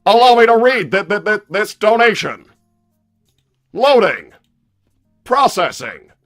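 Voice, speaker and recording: Loud, high-pitched belligerent combat robot. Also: male voice, sing-song, slow pace, faint music behind, exaggerated voice. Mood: formal, blunt. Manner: declamatory, mechanical, stilted delivery. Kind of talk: improvised character roleplay on a livestream.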